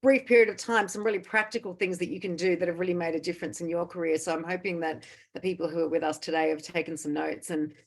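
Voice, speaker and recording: A woman's voice: on the low side, fairly even intonation, fast. A woman hosting a mentoring event, upbeat and encouraging as she thanks speakers and introduces the next one. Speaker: event host.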